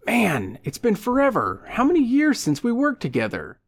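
A man's voice: sits high, huge pitch swings, normal speed, pitched above the performer's own voice, heavily acted. A man voicing a falsely jovial, pushy man in an audiobook, with exaggerated chummy enthusiasm. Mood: chummy, hospitable.

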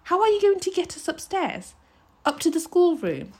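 A woman's voice: very high voice, very animated, normal speed, darkish tone, voice pushed up, full character voice. A woman voicing an anxious, easily flustered tiny wife in a storybook, fretting and moaning her worried questions.

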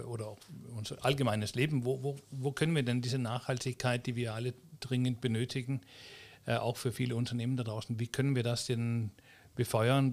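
Man, gravelly, podcast host, casual interview, halting with restarts. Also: medium pitch, a little mellow. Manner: halting, many restarts and fillers.

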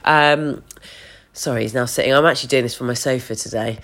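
A woman's voice: very deep voice, fairly quick. A woman in her thirties with a stuffy, bunged-up voice, hosting a candid solo podcast from her sofa and recorded on her phone. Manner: candid, gently meandering with many fillers. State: congested, stuffy nose. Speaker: podcast host. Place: home sofa.

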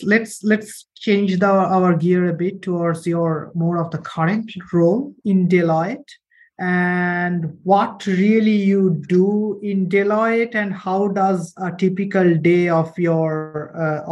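A man interviews a guest with long, meandering questions broken up by fillers. His voice sits a bit high for a man and stays flat, with little rise and fall. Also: slow pace, dull audio. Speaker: interviewer, podcast host.

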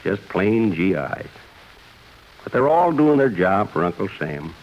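A low, gravelly old man, gentle and reflective, bidding the world farewell as the old year. He talks in a folksy, fatherly way with a lively rise and fall. It sounds like a dull 1950s radio broadcast with faint music underneath. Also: measured pace, slight background noise. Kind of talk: 1950s radio drama acting.

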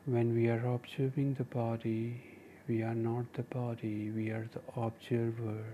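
A man with an Indian accent leading a guided meditation, giving gentle, measured instructions in short phrases with pauses. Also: medium pitch, flat delivery, phone-quality sound, slight background noise. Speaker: meditation teacher and podcast host.

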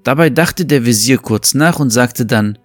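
A man's voice: medium pitch, moderate pace, dry recording. A man narrating a scripted fable as the storyteller of an audio story. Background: faint music.

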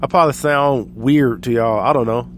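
A man's voice: medium pitch, normal speed, slight background noise. An American man with a stuffy, allergy-congested nose hosts a podcast in a casual, rambling, self-deprecating way. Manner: self-deprecating, chuckles at himself. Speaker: podcast host.

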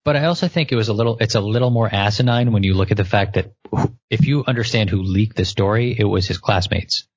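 Animated young man, husky and low. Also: fast, few pauses, no echo. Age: 20s. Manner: animated, repeats words when starting. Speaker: podcast co-host. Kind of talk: casual chat. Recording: muffled lo-fi audio.

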